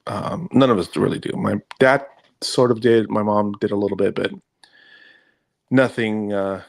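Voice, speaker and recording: A man in his fifties from Venezuela, a native Spanish speaker, with a low, gravelly voice. He talks casually and rambles through his story with lots of fillers and restarts. The recording is dry and close. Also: fairly even intonation, normal speed, no room sound. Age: 50s. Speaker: podcast co-host.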